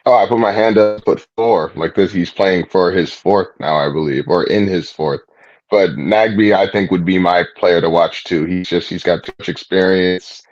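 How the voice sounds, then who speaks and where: A man's voice: sits low, steady tone, moderate pace, a little mellow, no room sound. A man on a podcast panel speaking casually and offhandedly over dull, lo-fi remote audio.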